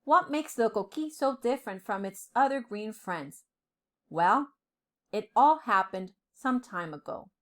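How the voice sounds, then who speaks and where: Woman, storybook narrator, highly expressive pitch, on the high side, dramatic deliberate phrasing, for young listeners. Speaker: storyteller, professor. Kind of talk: expressive storybook reading for children.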